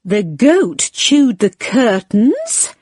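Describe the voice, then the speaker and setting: A woman's voice: on the high side, huge pitch swings, drawn-out words, darkish tone, breathy edge, no room sound, studio quality. A woman narrating a rhyming children's story expressively, as a read-along.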